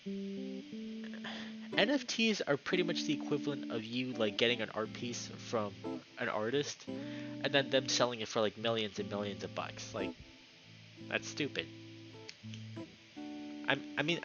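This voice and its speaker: A man's voice: mid-range voice, sing-song, unhurried, long pauses, highs cut off. A man streaming a visual novel, chatting casually and reading the narration aloud. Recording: loud music behind the voice.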